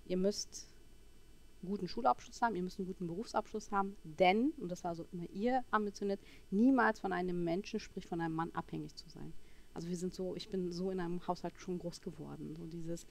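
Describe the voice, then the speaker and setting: A woman in her forties from Berlin telling her personal story as a podcast guest, halting as she searches for words. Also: fairly low pitch, gravelly, darkish tone. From Berlin, Germany. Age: 40s.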